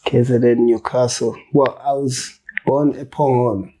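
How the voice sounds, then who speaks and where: Relaxed slangy rapper man, mellow voice. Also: mid-range voice, unhurried, rough recording. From South Africa. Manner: loose, slangy, swears freely, laughs while telling stories. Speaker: rapper, interview guest. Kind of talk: casual interview chat.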